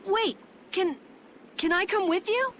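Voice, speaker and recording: A woman voicing an earnest, excitable young boy adventurer in a video game. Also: very high-pitched, slow, a little hiss or hum, exaggerated voice. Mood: hesitant. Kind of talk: animated video game dubbing.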